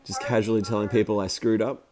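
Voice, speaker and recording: A man just over the flu, his voice croaky, giving chatty, rambling commentary over footage of a hockey game he filmed, with plenty of fillers and restarts. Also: on the low side, level tone, quick pace, a little mellow. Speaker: amateur hockey commentator and video maker.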